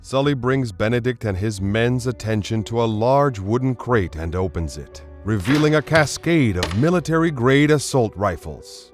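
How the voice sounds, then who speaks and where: A man narrates a radio drama from a script. His mid-range voice is dramatic and animated in its intonation. Music plays clearly behind him. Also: medium pitch, normal speed, few pauses. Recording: music underneath.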